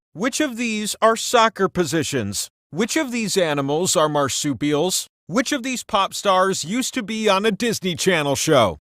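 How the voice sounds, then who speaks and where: A man's voice: sits high, a bit bright, no room sound, clean studio sound. A man voicing a talking game-show wheel, an exuberant, quirky and grandiose quiz host with a theatrical, playful delivery and comic asides. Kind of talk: scripted game show hosting.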